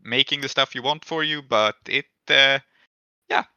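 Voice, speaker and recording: A man running a tabletop game as game master, improvising narration in casual, halting speech as he thinks aloud. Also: mid-range voice, pushed and bright, smooth. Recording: echoey room, muffled dull audio.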